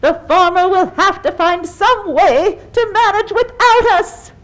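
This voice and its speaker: A woman's voice: extremely high pitch, a little slow, fairly bright tone. A woman voicing a prim, haughty, easily exasperated pig in a children's puppet show, with fussy, grandiose wording. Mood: pompous, worried.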